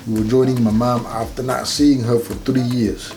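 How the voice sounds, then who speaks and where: A man with a Rwandan accent tells his story in a casual interview. His voice is mellow and relaxed, with a creaky, gravelly edge, and faint music plays behind him. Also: mid-range voice, moderate pace. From Rwanda, grew up partly in Kenya. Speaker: interview guest.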